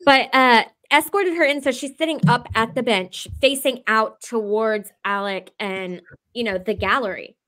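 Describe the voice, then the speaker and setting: A woman's voice: on the high side, normal speed, few pauses, fairly bright tone. A woman panelist chatting informally on a live stream. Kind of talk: casual chat.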